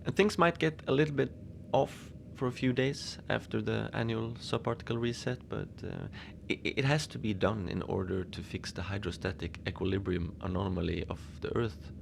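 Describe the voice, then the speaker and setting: Man acting gravelly, rambling station manager. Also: on the low side, normal speed, few pauses, background noise. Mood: chatty, wry. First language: Norwegian. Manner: hesitant, rambling, chatty, apologetic. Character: lonely, gentle, rambling Antarctic research station facility manager. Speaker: podcast narrator. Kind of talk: cozy fiction podcast monologue, radio transmission.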